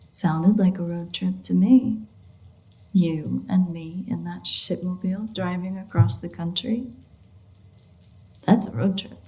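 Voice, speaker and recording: A woman's voice: lowish voice, fairly even intonation, unhurried, long pauses, mellow, low-quality recording. A woman playing a sardonic, free-spirited, flirtatious female vampire in an intimate audio roleplay, teasing and swearing as she goes. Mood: sarcastic, teasing.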